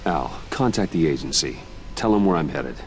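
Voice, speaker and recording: An actor playing a stern, controlled masked vigilante detective in a video game, speaking in a grave, measured, terse way. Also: male voice, a bass voice, lively intonation, gravelly, a little hiss or hum, heavily acted. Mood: focused. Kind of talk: dramatic video game acting.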